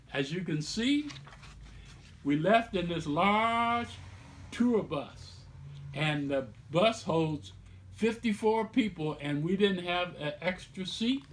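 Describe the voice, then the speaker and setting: A middle-aged man reading a book aloud like a storyteller, with emphatic, deliberate phrasing and explanatory asides to listeners. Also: sits high, a bit bright. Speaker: author reading own children's book aloud.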